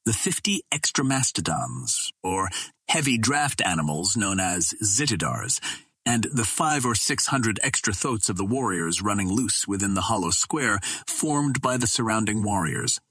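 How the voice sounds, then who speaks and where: A man narrating a classic adventure novel in a measured, steady reading. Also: fairly low pitch, husky, no echo. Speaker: audiobook narrator.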